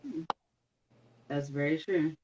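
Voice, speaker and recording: Podcast host, easygoing and agreeable; mid-pitched voice, slightly dull recording. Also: slow pace, background noise. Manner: easygoing, agreeable, laughs often. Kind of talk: casual interview questions.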